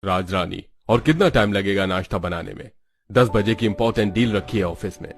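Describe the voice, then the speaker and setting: A man's voice: sits low, fairly quick. A man voicing a dissatisfied, nagging husband in a cartoon story, with a complaining manner. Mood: impatient. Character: dissatisfied, nagging middle-class husband and father. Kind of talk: Hindi cartoon story acting.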